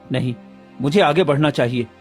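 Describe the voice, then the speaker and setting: A man's voice: mid-range voice, normal speed, husky, faint music behind, natural voice. A man playing an earnest, remorseful villager in a Hindi cartoon moral story. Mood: surprised, wary. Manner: pleading, earnest.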